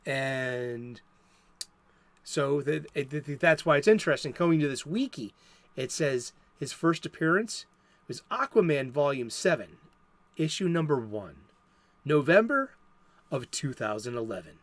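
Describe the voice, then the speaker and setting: Brief: male host with a Boston accent, a touch high, rambling and chatty, dry close sound. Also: expressive, measured pace, dry recording. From Boston area, USA. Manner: rambling, many restarts and fillers. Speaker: comic book show host. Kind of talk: casual solo talk. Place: home studio.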